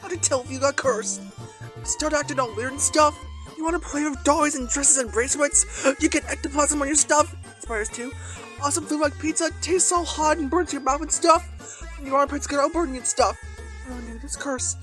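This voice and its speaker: A woman's voice: high voice, brisk, over music, close to an everyday voice. A woman voicing a naive boy who reads a comic aloud in a simple, slangy, childlike way. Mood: earnest, ominous. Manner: simple, slangy, ungrammatical phrasing. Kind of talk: childlike read-aloud storytelling.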